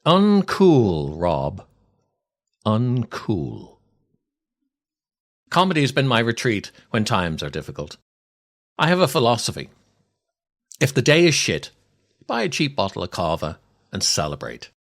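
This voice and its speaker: A man, reading a scripted comic monologue in a wry, theatrical way with deliberate pauses. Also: medium pitch, huge pitch swings. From Ireland. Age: 60s. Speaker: podcast host and storyteller.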